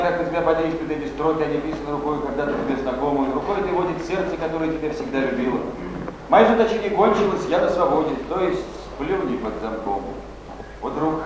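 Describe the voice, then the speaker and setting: Impassioned actor as freed prisoner, cavernous echo. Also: male voice, a little high, brisk, natural voice. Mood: fervent, tender. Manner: impassioned, declamatory. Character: grey-haired, warm-hearted freed prisoner writing to a friend. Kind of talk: theatrical letter recitation. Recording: very echoey, noisy old stage recording.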